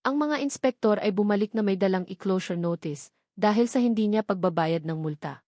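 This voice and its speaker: A woman reading a scripted, dramatic family love story as narrator, pausing between short phrases for effect. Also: medium pitch, mellow, no echo, clean studio sound.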